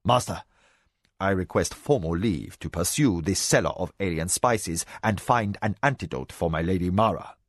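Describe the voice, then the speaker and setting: A man's voice: mid-range voice, big pitch swings, normal speed, fairly bright tone, no echo, clean studio sound. A man voicing a shrewd male spymaster who speaks firmly and bluntly to his master. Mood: formal. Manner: firm, clipped.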